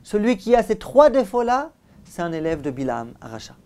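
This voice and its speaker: A man's voice: high-pitched, sing-song, moderate pace, darkish tone. Man teaching, emphatic rabbi lecture style. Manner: didactic, rhetorical questions and repetition for emphasis. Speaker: rabbi giving a religious class. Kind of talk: Torah lecture.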